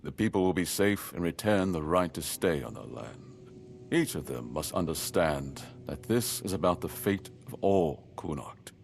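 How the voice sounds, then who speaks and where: A deep-voiced solemn noble lord. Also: male voice, lively intonation, vocal fry, slight background noise. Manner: measured, stately, earnest. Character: solemn noble lord, middle-aged man, duty-bound. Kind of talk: voiced game dialogue.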